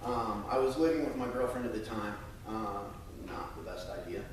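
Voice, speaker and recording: An American man speaking earnestly and a little haltingly to a congregation, as a church member sharing testimony and scripture. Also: on the low side. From United States. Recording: very echoey room, distant mic, faint noise.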